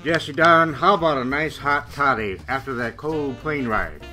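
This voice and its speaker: A man playing a genial, proud grandfather and company salesman in a radio play, with a folksy pitchman's manner. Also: moderate pace, bright, forceful tone. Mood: jovial. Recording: music behind the voice.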